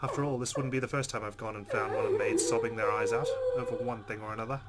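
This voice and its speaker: A man playing a game, reading its text aloud dramatically and reacting in a jumpy, expressive way. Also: medium pitch, fast. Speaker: let's player. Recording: loud game sound behind voice. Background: game audio.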